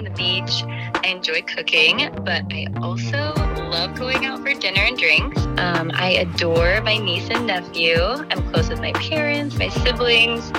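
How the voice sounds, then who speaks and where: A woman with a slightly raspy voice, calling in to a dating segment, talking in a friendly way and laughing often. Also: on the low side.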